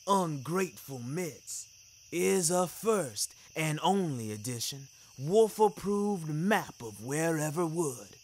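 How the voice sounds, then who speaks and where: Podcast wolf acted by a man: jocular hepcat bandleader, high-pitched, theatrical, lilting delivery. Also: slight background noise. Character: laid-back showy male wolf, jazz band leader. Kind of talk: fiction podcast character voice.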